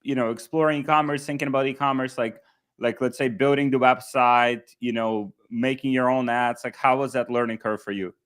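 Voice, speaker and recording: A man's voice: medium pitch, fairly even intonation, fairly quick, a bit bright, clean tone. A man hosting a casual interview, talking loosely with many restarts and fillers. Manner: hesitant, many restarts and "like, you know". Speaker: host interviewing coaching clients.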